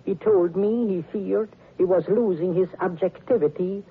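An actor playing an older, verbose, self-satisfied psychoanalyst in a theatrical, pedantic style of old-time radio drama. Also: male voice, sits high, moderate pace, husky. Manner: theatrical, rambling, pedantic, chuckling. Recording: muffled, lo-fi vintage radio broadcast.

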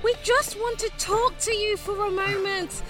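A woman playing an excitable young adventurer in a fantasy audio drama. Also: very high voice, unhurried, loud music behind the voice. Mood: exasperated, coaxing.

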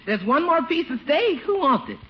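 An actress playing a loud, sassy household cook in a 1950s radio sitcom. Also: female voice, high voice, very animated, moderate pace. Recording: dull, very noisy 1950 radio recording.

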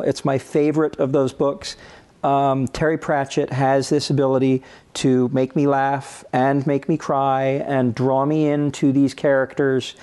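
A man co-hosting a podcast, speaking off the cuff in a halting, measured way. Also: mid-range voice, dark tone, clean tone. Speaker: podcast co-host. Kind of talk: casual unscripted recommendation.

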